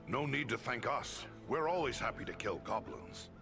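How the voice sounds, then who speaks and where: An actor playing a sturdy, plain-spoken dwarf warrior in a fantasy game. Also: male voice, medium pitch, big pitch swings, normal speed, vocal fry, music in the background. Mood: friendly.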